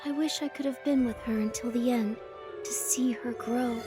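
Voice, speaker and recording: An actress voicing a gentle, solemn, fading woman in a dramatic video game, speaking softly and earnestly. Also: on the high side, fairly even intonation, close to an everyday voice. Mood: wistful, pleading. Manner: slow, soft, earnest delivery. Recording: voice under clear background music. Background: music.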